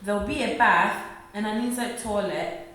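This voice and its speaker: A woman playing a gentle, worried working-class mother in a radio play. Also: medium pitch, moderate pace, lightly acted. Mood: grateful, sorrowful. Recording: echoey room, far from mic.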